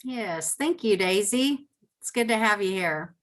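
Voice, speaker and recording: A woman's voice: medium pitch, expressive, a little slow. A woman trauma counselor from Southeast Alaska, chatting in a friendly way on a video call panel.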